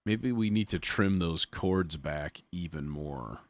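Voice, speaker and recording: A man with a very low voice walks through a music production demo in an unhurried way. He thinks aloud casually, muttering to himself and using folksy turns of phrase. The delivery is slow and informal. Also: no room sound. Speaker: music production tutorial host.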